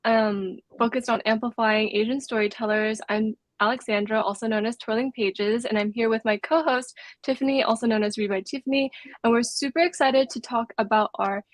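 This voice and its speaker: Female book club host, higher pitch, prepared interview delivery, very dry recording. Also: steady tone, a little fast, no room sound. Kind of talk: scripted welcome and interview questions.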